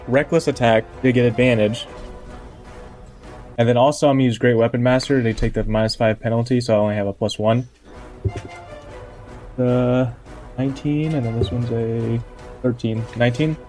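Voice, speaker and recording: A man's voice: medium pitch, fairly even intonation, moderate pace, pauses often, a little mellow, soft background music. A man playing a tabletop game, casually calling out his moves and numbers.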